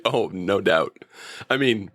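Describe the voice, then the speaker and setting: A male co-host talks in a casual, joking review-chat style. His voice is fairly low, and the recording is dry with little room sound. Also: expressive, slow, fairly bright tone, no room sound. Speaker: podcast co-host.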